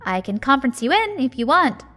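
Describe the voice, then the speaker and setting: A woman's voice: high-pitched, very animated, a little fast, bright, forceful tone, full character voice. A woman acting a sly, flippant shop owner and secret agent in a naturalistic audio drama. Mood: breezy, apologetic. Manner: flippant, sardonic, breezy.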